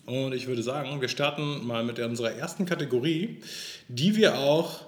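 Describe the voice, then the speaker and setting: A man's voice: mid-range voice, normal speed, echoey room. Rambling man in his thirties. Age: 30s. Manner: laughing easily, rambling, restarts mid-sentence. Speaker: sexton and podcast co-host. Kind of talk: casual chat.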